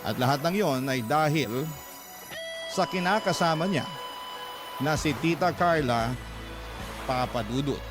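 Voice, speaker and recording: A man playing a sorrowful young nephew, narrating earnestly and in measured phrases as a scripted radio drama letter. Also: a little high, expressive. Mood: sad, earnest. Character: sorrowful young nephew recounting his uncle's downfall. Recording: prominent background music under narration.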